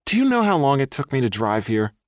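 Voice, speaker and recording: Male narrator voicing a high, wheedling ex who keeps pleading; dry close read. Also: big pitch swings, fast, dry recording, close to an everyday voice. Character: pleading, clingy young male ex-boyfriend.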